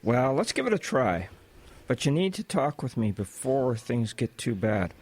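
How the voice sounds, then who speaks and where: A man playing a practical, easygoing boss of delivery drivers in an audio drama, talking in a measured, matter-of-fact way. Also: mid-range voice, sing-song. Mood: businesslike. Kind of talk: audio drama acting, naturalistic dialogue. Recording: clear vehicle and outdoor noise behind.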